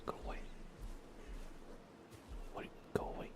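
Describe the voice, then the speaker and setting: Whispering man, ASMR gaming stream. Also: measured pace. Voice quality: soft, breathy, unvoiced whisper. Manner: mutters short repeated phrases. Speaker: livestreamer playing a survival video game.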